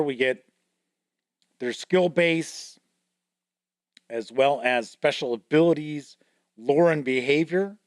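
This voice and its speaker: Guy hosting a tabletop show, slow chatty walkthrough, dry room, laughs off his mispronouncing. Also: mid-range voice, no echo. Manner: chatty, reads entries aloud and comments on them. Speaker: host of a tabletop gaming news show. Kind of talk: casual product walkthrough.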